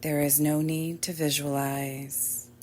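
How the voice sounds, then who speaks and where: A woman teaching yoga nidra, guiding relaxation in a gentle, soothing way with long pauses. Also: deep voice, level tone, a little hiss or hum. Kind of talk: guided relaxation meditation. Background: soft background music.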